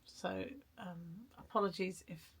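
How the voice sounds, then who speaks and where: A woman chatting casually in an art vlog, hoarse and croaky from a cold, rambling and self-deprecating. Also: lowish voice, slow. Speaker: vlogger. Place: garden shed studio.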